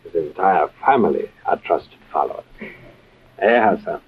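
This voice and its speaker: On a dull vintage radio recording, a menacing crime lord. His low, husky voice is formal and oily-polite, with a threat under every courtesy. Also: animated, normal speed, full character voice. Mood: smooth, courteous. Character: suave menacing wealthy Middle Eastern crime boss. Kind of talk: old-time radio drama acting.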